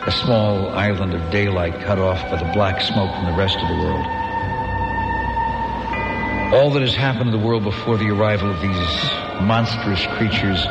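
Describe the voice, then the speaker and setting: An actor playing a haunted, middle-aged male astronomer who believes he is the last survivor, narrating in a solemn, brooding, measured way. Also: deep voice. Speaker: narrator of a radio drama. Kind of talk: 1990s radio drama acting, first-person narration. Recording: reverberant radio play with music behind.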